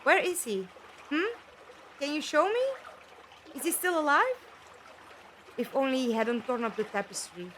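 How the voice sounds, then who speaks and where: A woman playing a defiant young companion in an audio drama, youthful and expressive, with earnest, pointed questioning. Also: high voice, a little slow, pauses often, full character voice. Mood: anxious, talking to herself. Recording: background ambience noise.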